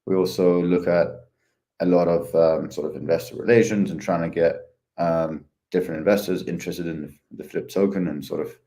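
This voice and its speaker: A man chatting casually as a podcast guest, easygoing and hesitant with many pauses. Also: low voice, fairly even intonation, dark tone. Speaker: head of ecosystem, podcast guest.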